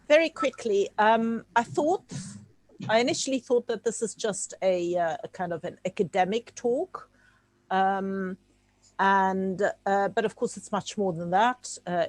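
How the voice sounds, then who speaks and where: A woman's voice: medium pitch, a little mellow, no room sound, low-quality recording. A woman from Czechoslovakia, a native Czech speaker, giving an informal talk over a video call, hesitant and full of fillers and restarts. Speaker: guest speaker.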